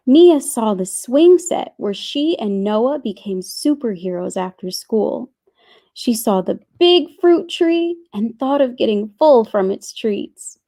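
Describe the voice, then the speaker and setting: Slow, deliberate woman storyteller. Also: fairly high pitch, darkish tone. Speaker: children's storyteller. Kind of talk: scripted storybook narration.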